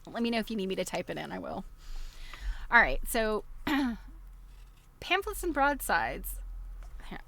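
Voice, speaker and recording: A woman's voice: medium pitch, very animated, measured pace, gravelly, pauses often, sharp tone. A woman hosting a casual livestream, reading aloud from a book and digressing into chatty, easily amused commentary. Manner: chatty, digressive, often breaking into chuckles.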